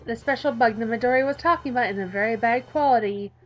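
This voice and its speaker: A woman's voice: on the high side, fast talker, highs cut off, faint music behind. A tired woman reads a visual novel aloud in a let's-play, dropping in informal, playful asides. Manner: informal, playful, self-interrupting.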